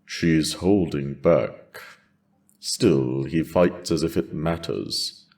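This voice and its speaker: A man's voice: rumbling low, drawn-out words, darkish tone, heavily acted. A man voicing a stern, watchful dragon companion with a dry manner in a fantasy audio drama. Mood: dry, appraising. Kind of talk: audio drama character dialogue.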